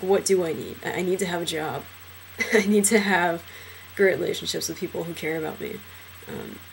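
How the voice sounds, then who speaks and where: A young transgender man in a casual personal vlog, talking hesitantly with many fillers and restarts, his trained low voice deepened further by a cold. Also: creaky, slight background noise, poor audio. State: voice lowered by illness.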